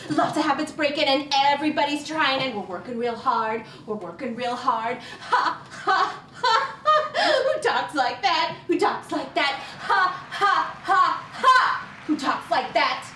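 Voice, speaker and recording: Actress as giddy female patient, sing-song, very high-pitched, comedic acting, echoey distant recording. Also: steady tone, takes it slowly, hardly any pauses, fairly bright tone, a little hiss or hum. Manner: sing-song delivery, echoing others' words, giggly. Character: giddy female therapy patient who communicates by singing. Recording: echoey room, distant mic.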